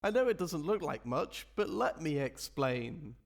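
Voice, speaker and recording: A man's voice: on the high side, very animated, a little slow, vocal fry, heavily acted. A man voicing an eager, earnest small-town sheriff in a puppet musical, naive and keen to please. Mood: eager, hopeful. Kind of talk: theatrical puppet show dialogue.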